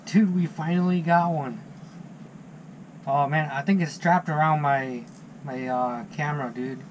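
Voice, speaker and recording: A man's voice: on the high side, fairly even intonation, measured pace, a little hiss or hum. Laid-back man vlogging in an ice shanty. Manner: laid-back, chatty, frequent "dude" and "man". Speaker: fishing vlogger. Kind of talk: casual vlog talk to camera. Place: small pop-up ice fishing shanty on a frozen lake. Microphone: action camera mounted inside the shanty. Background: background music.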